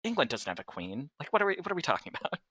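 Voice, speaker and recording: A man's voice: on the high side, sing-song, very fast, sharp tone, husky, dry recording. A man speaking as a podcast co-host in casual chat.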